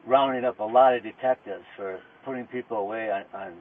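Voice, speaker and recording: Insistent low-voiced man on tinny police audio. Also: a little hiss or hum. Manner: insistent, repetitive, halting, protesting. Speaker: arrested murder suspect. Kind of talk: informal back-and-forth with police during arrest.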